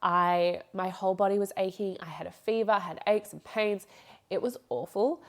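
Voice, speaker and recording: A woman's voice: medium pitch, moderate pace. A young woman hosting a podcast, talking casually and rambling with frequent laughs, her voice nasal from a blocked nose. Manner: chatty, rambling, laughs often. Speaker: podcast host. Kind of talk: casual solo podcast talk.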